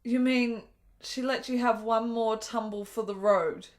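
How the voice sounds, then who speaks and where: A woman's voice: fairly high pitch, fairly even intonation, unhurried, lightly acted. An actress playing a frail, lonely, ailing woman of 1870s Italy in a radio drama, yearning and wry, with formal, delicate diction. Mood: gentle, wry. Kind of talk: dramatic radio play dialogue.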